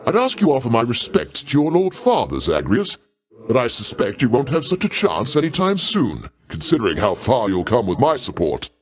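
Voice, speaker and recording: A mighty older god king in a video game, speaking like a proud, doting uncle. His delivery is theatrical and courtly, with pitch that swings boldly up and down. He stays genial and grandiose throughout. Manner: grandiose, avuncular, courtly old-fashioned diction.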